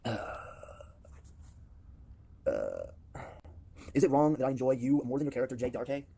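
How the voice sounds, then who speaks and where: A man's voice: mid-range voice, wildly swooping pitch, moderate pace, creaky, dark tone, slightly rough. A man with a put-on British accent answering viewer questions in a rambling, filler-heavy way on a casual stream. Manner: rambling, many fillers and restarts. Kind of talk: casual stream talk in character.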